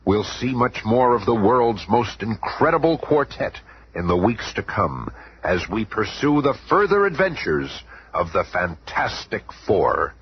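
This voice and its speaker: An actor playing an excited, dramatic superhero serial narrator with bombastic, melodramatic storytelling. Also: male voice, lowish voice, measured pace. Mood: grand, teasing. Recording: noisy, muffled vintage radio drama.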